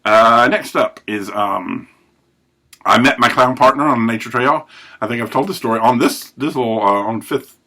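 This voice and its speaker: A man hosting a recovery podcast, telling his life story in a rambling, self-correcting way with a big, loud voice. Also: medium pitch. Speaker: podcast host. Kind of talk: personal recovery monologue.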